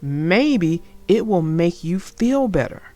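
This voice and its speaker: A woman's voice: on the low side, big pitch swings, takes it slowly, dark tone, no echo, voice pushed down. A woman voicing a firm but kindly parent, the father in a children's storybook. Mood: reassuring.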